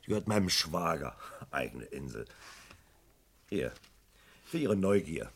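An actor playing a gruff, middle-aged police chief inspector in a German radio drama, brusque and grumbling. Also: male voice, very deep voice, big pitch swings, measured pace, heavily acted.

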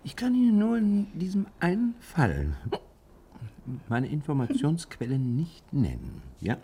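Polite cagey detective, very husky slow murmur, secretive, old german radio drama. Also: male voice, a little high, huge pitch swings, dark tone, exaggerated voice. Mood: evasive, discreet. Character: evasive, courteous male detective guarding his sources. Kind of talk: 1960 German radio play acting.

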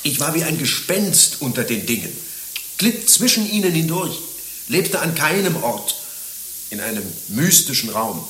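A man's voice: a little high, big pitch swings, measured pace, sharp tone, roomy sound, a little hiss or hum, heavily acted. An actor playing a lovesick, naive middle-aged former monastic in a German radio play, declaiming his confessions with rhapsodic anguish. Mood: rapturous.

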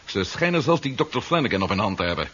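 A man's voice: lowish voice, very animated, fast, fairly bright tone, husky, heavily acted. An actor playing a shrewd, wry policeman hero in a 1970s radio drama, with a dry manner. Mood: brisk, deceptive. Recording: dull, muffled 1970s radio recording.

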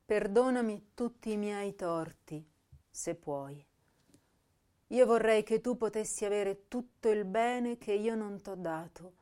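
A woman's voice: mid-range voice, mellow, lightly acted. A woman playing an intense, tender, self-reproaching young poet in a radio drama, reading her letters and verse with theatrical feeling. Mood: sorrowful, pleading. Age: young adult. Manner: slow, emotive, theatrical delivery.

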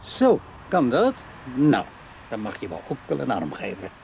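An actor in a radio play as a gently teasing man humouring a young child in a playful, coaxing way. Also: medium pitch, wildly swooping pitch, measured pace, gravelly, slight background noise, exaggerated voice, low-quality recording. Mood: playfully gallant.

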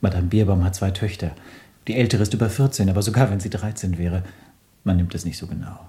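A smug, worldly dandy in a radio drama. The voice is lowish, husky and dry-recorded, with a suave, self-assured, insinuating delivery. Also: male voice, steady tone, fast talker, mellow, dry recording. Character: well-off male dandy cousin, worldly, pushy, smug. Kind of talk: radio play dialogue.

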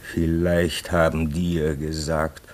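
A man's voice: very deep voice, little pitch movement, takes it slowly, a little mellow, slight background noise. An actor in a German radio play, playing an embittered, homesick man stranded in the Arctic, brooding and anguished. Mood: wistful, longing. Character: embittered, homesick husband stranded at a polar station.

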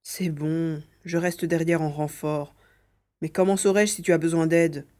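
A woman's voice: low voice, fairly even intonation, a little slow, darkish tone. A woman playing an eager, stubborn young boy in a dramatised audiobook, speaking expressively and earnestly. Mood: protesting, sulky. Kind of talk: dramatised audiobook, first-person narration and dialogue.

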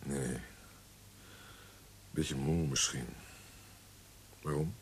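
A man's voice: very deep voice, fairly even intonation, drawn-out words, a little hiss or hum, exaggerated voice. An actor playing a weary, ailing young man in a radio drama, listless and curt, indifferent to life. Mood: weary, evasive. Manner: listless, curt, dismissive replies.

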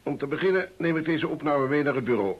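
A man's voice: a little high, lively intonation, fast talker. An actor playing a confident, condescending male police inspector in a radio drama. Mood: self-assured. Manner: assured, condescending. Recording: muffled old radio drama recording.

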